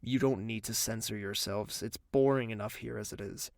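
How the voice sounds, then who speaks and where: An actor playing a brooding, weary young royal in an audio drama, with a dry, curt, blunt manner. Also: male voice, fairly low pitch, steady tone, moderate pace, creaky, no room sound. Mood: irritated, weary.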